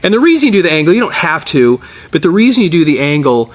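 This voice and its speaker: A man's voice: on the high side, sing-song, quick pace. A middle-aged man presenting a casual hands-on tutorial as a repair technician, explaining informally step by step while he works.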